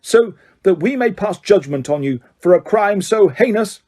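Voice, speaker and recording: A man's voice: sits high, animated, moderate pace, a little mellow, pitched above the performer's own voice. A man reading aloud voices a stern, cold middle-aged male judge who bellows commands.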